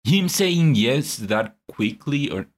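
A man co-hosting a film review podcast, giving his view slowly and thoughtfully over a call. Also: medium pitch, lively intonation. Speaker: podcast co-host. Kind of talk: casual film review chat.

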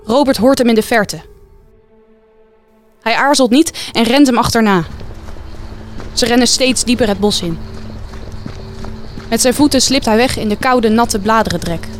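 Woman narrating radio drama over music. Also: fairly high pitch. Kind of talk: scripted radio play narration.

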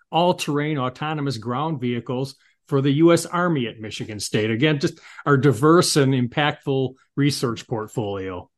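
Man hosting a formal, prepared interview. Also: mid-range voice. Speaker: interview host.

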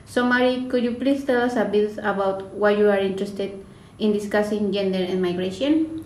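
A woman's voice: medium pitch, normal speed, a little mellow. A young woman from Mexico with a Mexican accent, a student panelist delivering formal, prepared remarks. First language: Spanish. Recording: echoey room.

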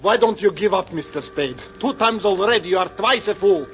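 An actor playing a scornful, agitated husband with formal, clipped phrasing in an old radio drama. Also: male voice, sits high, lively intonation, brisk, faint music behind. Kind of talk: 1940s radio acting. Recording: dull old radio recording.

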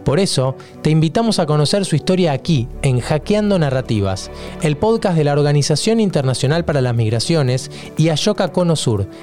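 A man narrates a documentary podcast from a script, steady and informative. His voice sits somewhat high for a man. A faint guitar plays softly behind him. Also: fairly quick. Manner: even, informative delivery.

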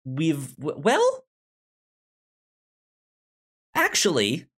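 A man hosting a casual review chat, speaking haltingly and often repeating or restarting his words. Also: on the high side, wildly swooping pitch, fairly bright tone, breathy edge. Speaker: podcast host.

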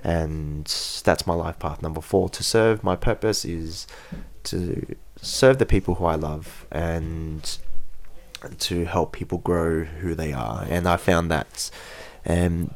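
Man, thirties, deep low voice, blunt, candid, casual chat. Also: takes it slowly. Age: 30s. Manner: frank, blunt opinions. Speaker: guest. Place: Japan.

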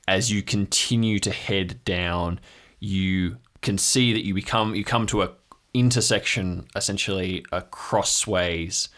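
Male game master, low-pitched, measured narration for an improvised tabletop audio adventure. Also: creaky, a bit bright.

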